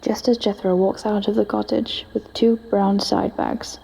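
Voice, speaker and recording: A woman narrates scripted drama in a flat, dark, creaky voice. Long reverb hangs around her words. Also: mid-range voice, unhurried.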